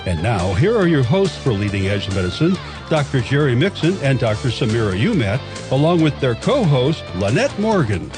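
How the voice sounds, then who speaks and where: A man reads the opening of a radio health show in a polished, promotional way. His delivery moves up and down with lively emphasis, and clear music plays underneath. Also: medium pitch, normal speed. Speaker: announcer. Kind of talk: scripted radio show intro. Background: music bed.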